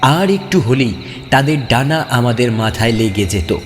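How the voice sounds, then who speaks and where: A man's voice: mid-range voice, measured pace, a little hiss or hum. A man giving a dramatic, suspenseful first-person narration of a horror story. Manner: suspenseful, expressive. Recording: long hall reverb, clear music bed. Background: music.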